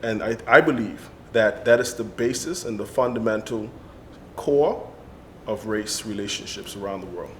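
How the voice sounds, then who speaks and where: Earnest man in an echoey, rumbling room. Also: normal speed, a bit bright, a little hiss or hum. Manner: earnest, builds emphasis with repetition. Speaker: panelist. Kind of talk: discussion.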